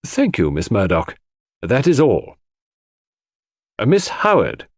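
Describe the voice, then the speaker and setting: A man voicing a courteous but stern male coroner in an audiobook, asking formal, measured questions. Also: medium pitch, huge pitch swings, no echo, clean studio sound, full character voice. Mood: politely brisk. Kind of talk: audiobook character dialogue.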